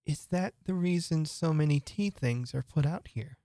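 A woman's voice: low voice, normal speed, dark tone, no echo, clean studio sound, pitched above the performer's own voice. A woman voicing a polite, curious young girl who speaks earnestly. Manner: earnest, wondering.

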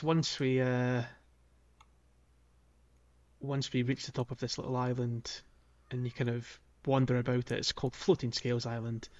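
Male podcast co-host, mid-pitched, hesitant informal game walkthrough chat, lo-fi sound. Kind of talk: casual video game playthrough chat.

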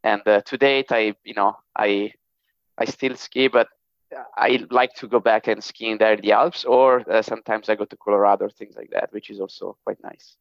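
Italian-accented man, hesitant, with a smooth voice. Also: on the low side, fairly even intonation, normal speed, no echo, lo-fi sound. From northern Italy. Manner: hesitant, many fillers and restarts. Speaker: podcast guest, technology executive. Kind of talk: casual interview talk.

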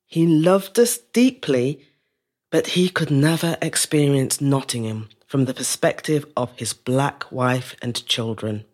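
A woman playing a resilient middle-aged woman telling her life story in a measured, reflective dramatic monologue. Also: rumbling low, darkish tone. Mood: reflective, pained. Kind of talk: scripted dramatic monologue, first-person storytelling.

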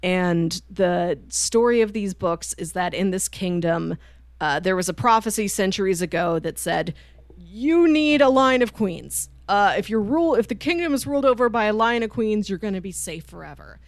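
Female podcast co-host, conversational and animated, medium pitch, very dry sound. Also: normal speed, dry recording. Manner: animated storyteller, acting out quoted lines informally. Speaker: podcast co-host reviewing books. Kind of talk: casual conversational book discussion.

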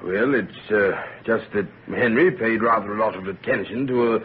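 An actor playing a genteel, discreet middle-aged husband, tactful and proper, in an old-time radio drama. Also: male voice, lowish voice, normal speed, close to an everyday voice. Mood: hesitant, guarded. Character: genteel, discreet, fatherly husband of older generation. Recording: noisy, narrow-band vintage radio recording.

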